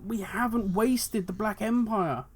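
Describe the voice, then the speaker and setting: A man hosting his own video, talking passionately and at length about game lore, his voice going hoarse. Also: high voice, a little slow. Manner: passionate, rambling, musing aloud. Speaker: video host. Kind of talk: solo commentary on game lore.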